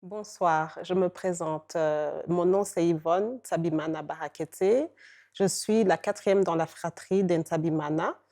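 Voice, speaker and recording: A woman's voice: fairly low pitch, unhurried, warm tone. A woman educator introducing herself plainly, with some hesitation. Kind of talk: spoken self-introduction.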